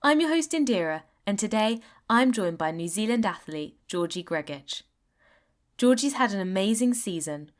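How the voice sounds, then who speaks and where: A young woman hosting a podcast interview. She reads a scripted intro and then chats in an upbeat, encouraging way, full of praise. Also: medium pitch. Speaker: podcast host.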